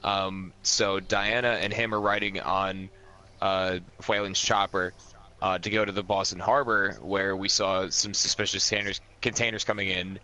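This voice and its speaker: A man's voice: low-pitched, flat delivery, normal speed, sharp tone, low-quality recording. A man playing an online tabletop role-playing game, talking casually and bluntly with plenty of swearing, over background noise. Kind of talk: casual in-character play and recap.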